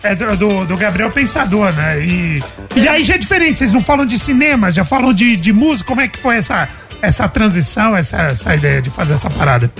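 Co-host, eager questioner, mid pitch, music audible. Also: lively intonation, a little fast, a bit bright. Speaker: podcast co-host. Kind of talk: casual chat.

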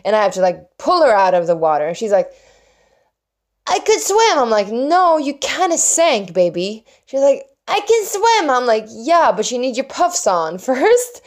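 A woman from Sweden, a native Swedish speaker, telling a personal story in a casual, reflective, meandering way with pauses and hushed asides. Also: fairly high pitch, sing-song. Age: adult, mother of young children.

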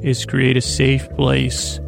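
A man with a creaky, gravelly voice, hosting a bedtime podcast in a rambling, halting monologue that wanders off into tangents and stumbles over words. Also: mid-range voice, monotone, music in the background. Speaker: podcast host. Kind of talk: rambling bedtime monologue to lull listeners to sleep.

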